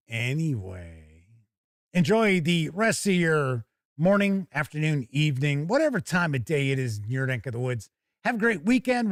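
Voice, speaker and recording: Higher-voiced American man hosting casually. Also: no echo, clean studio sound. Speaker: host of a tabletop gaming livestream. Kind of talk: casual livestream chat to viewers.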